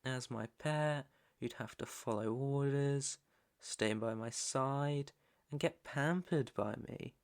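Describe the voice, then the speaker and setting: Man acting as a slow-talking British mob heir. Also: mid-range voice. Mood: smug, coaxing. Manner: slow, deliberate, commanding, coaxing. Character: controlling young male mafia heir, smooth, possessive, menacing. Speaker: ASMR roleplay voice actor. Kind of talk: ASMR roleplay, second-person dramatic acting.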